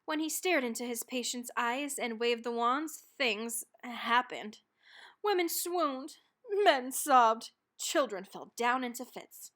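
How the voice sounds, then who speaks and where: A woman reading a children's book aloud as narrator, expressively and dramatically, leaning on key words and pausing for effect. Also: on the high side, expressive, a bit bright. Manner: dramatic, with stressed words and pauses. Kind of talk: expressive scripted storybook reading.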